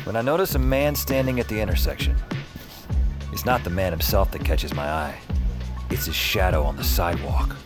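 Low-voiced cocky novice spellcaster , a male actor. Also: moderate pace, natural voice. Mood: wry narration. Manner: wry, conversational. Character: cocky, restless, wisecracking novice spellcaster man. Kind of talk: first-person audio drama narration and acting. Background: music.

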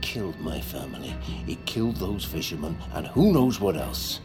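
An actor in an audio drama playing a driven, vengeful, grieving expedition leader with an imperious, intense manner. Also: male voice, low voice, big pitch swings, normal speed. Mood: bitter, grieving. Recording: loud music bed. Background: loud dramatic music.

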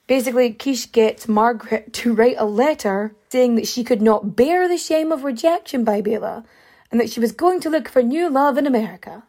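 A woman's voice: fairly high pitch, darkish tone. A woman hosting a podcast, telling a true-crime story in a rambling, chatty way with many fillers and asides while she is losing her voice. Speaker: podcast host.

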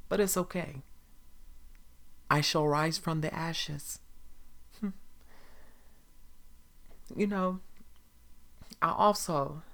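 A performer voicing an emotional young bride who gushes breathlessly, with faint music behind. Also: medium pitch.

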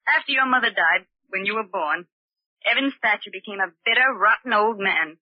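A defiant young wife in a vintage radio play. She pleads and accuses with earnest, emphatic urgency at a middle pitch, in a close, dry but muffled old recording. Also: animated, moderate pace, slightly rough, no echo. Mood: resolute, accusing. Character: desperate, defiant young wife exposing a villain. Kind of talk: old-time radio drama acting.

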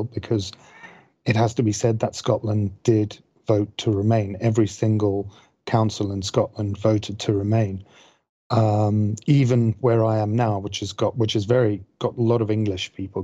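A man from Zimbabwe talking as a podcast panelist, explaining things casually in a careful, halting way. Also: lowish voice, mellow, no echo. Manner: measured, careful with wording, halting. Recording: dull, dry close recording.

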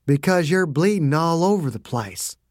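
A man voicing a hardened male agent who gives orders in a calm, quiet, flat voice, in an audiobook. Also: fairly high pitch, normal speed, no room sound, clean studio sound, close to an everyday voice.

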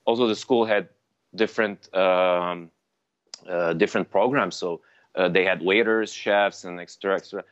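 A man whose first language is Armenian, a sommelier chatting in an interview, halting with many fillers and restarts. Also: deep voice, steady tone, clean tone. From Lebanon. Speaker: sommelier guest. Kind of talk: casual interview chat. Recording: dull, dry lo-fi sound.

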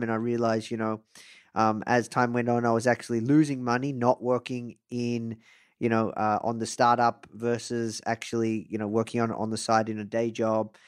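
A man hosting a podcast interview, talking in a relaxed, conversational way with lots of filler phrases. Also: medium pitch, dry recording, clean studio sound. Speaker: podcast host. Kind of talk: casual interview chat.

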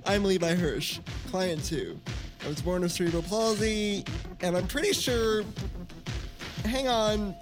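A man's voice: high voice, unhurried. An actor playing a casual, slightly unsure teenage boy in conversational audio fiction, with music behind the voice. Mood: casual, curious. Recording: music under the voice.

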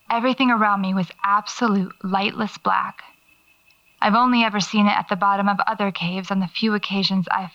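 A woman's voice: mid-range voice, a bit bright, lightly acted. An actress playing a nervous, wry horror writer who records her eerie explorations on a lo-fi tape, muttering to herself in an audio drama. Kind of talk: audio fiction acting, recorded field diary. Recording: dull lo-fi cassette tape sound.

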